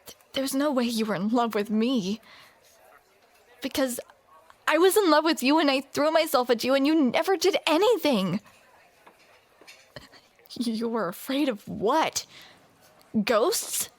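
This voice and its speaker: A woman's voice: high voice, expressive, a little slow, long pauses, no echo. A woman performing a scripted romantic audio roleplay, with playful, teasing banter, close to the microphone.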